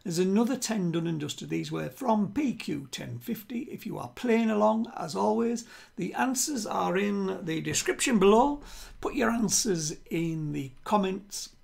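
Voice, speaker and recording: Gravelly older British quiz host, a man. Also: high voice, expressive, a little mellow. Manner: deliberate, repeats himself. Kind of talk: reading quiz questions to camera. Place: small room at home with bookshelves.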